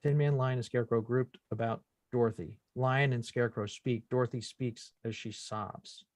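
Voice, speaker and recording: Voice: medium pitch, steady tone, moderate pace, darkish tone, no echo, studio quality. A narrator reading out screenplay stage directions as scripted narration at a table read.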